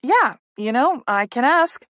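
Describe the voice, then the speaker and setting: A woman's voice: sits high, sing-song, measured pace, a bit bright, no room sound, voice pushed up, full character voice. A woman voicing a young woman doctor in an audiobook, polite and patient but guarded and hesitant. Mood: guarded, hedging. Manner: hedging, restrained politeness.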